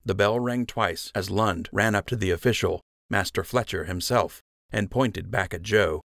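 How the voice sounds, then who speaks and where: A man's voice: on the low side, normal speed, gravelly, a bit bright, no room sound, clean studio sound. A man narrating an audiobook story in a scripted storytelling style.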